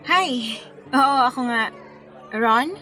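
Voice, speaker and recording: A woman's voice: on the high side, lively intonation, very slow, bright, forceful tone, faint music behind, full character voice. A woman with a Filipino accent playing a patient, good-humoured wedding planner in a radio drama, friendly and lightly joking. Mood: friendly, chatty.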